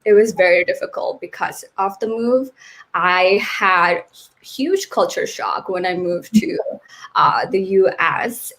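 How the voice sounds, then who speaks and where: A woman's voice: medium pitch, rough recording. A woman in her twenties from Nepal, a guest in a casual interview, friendly and dotted with fillers, on a video call mic.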